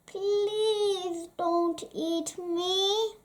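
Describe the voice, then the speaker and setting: A woman's voice: very high-pitched, steady tone, drawn-out words, voice pushed up, heavily acted. A woman voicing a small, frightened young male billy goat who pleads timidly in a children's storybook. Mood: pleading.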